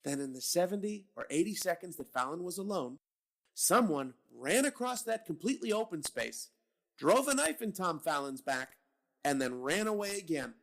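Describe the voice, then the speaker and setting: An actor playing a gruff, suspicious police captain in a radio drama, blunt and sarcastic as he grills his men. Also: sits high, expressive, moderate pace. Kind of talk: old-time radio drama reading.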